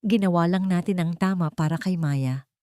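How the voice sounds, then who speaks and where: A caring, soft-spoken woman guardian from an audio love story , acted by a woman narrator. Her voice is low and reassuring. The sound is dry and close, and she stays calm. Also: normal speed, dry recording, natural voice. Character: gentle, caring young woman guardian comforting a child.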